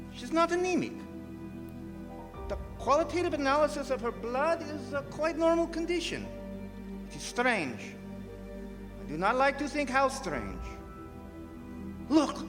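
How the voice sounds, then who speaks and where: An old professor of medicine, acted by a man, grave and urgent. His voice is very high and swings widely in pitch, echoing in a theatre hall with music behind. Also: exaggerated voice. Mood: alarmed. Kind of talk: radio drama acting. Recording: echoey hall, live stage performance.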